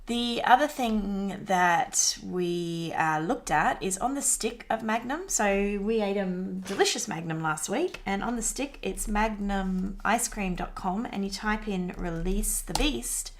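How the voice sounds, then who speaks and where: A woman's voice: medium pitch, a little slow, few pauses. A woman presenting a marketing assignment as a casual screen-recorded walkthrough, talking conversationally with frequent fillers. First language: English.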